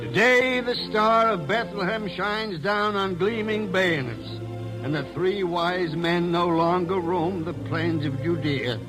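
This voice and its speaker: High, slow, solemn radio narrator. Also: male voice, poor audio. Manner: solemn, oratorical. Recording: vintage 1940s radio broadcast. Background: music.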